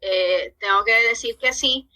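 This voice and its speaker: A woman with a Puerto Rican accent, a social worker guest talking about her life in a podcast interview, earnest and measured, with frequent "eh" pauses. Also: medium pitch, pushed and bright. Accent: Puerto Rican Spanish. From born in New York, raised from age eleven in Puerto Rico.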